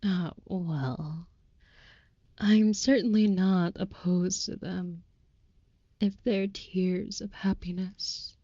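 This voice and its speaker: A woman plays a tender female vampire lover, utterly devoted and ornately romantic. The voice is mellow and breathy, slipping into whispers, and it moves slowly and reverently through formal, old-fashioned phrases. The sound is close, dry and slightly dull, as in an intimate audio roleplay. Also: on the low side, steady tone, no echo. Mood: tender, adoring. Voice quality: soft, breathy with whispered moments. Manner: florid, reverent, archaic formal wording.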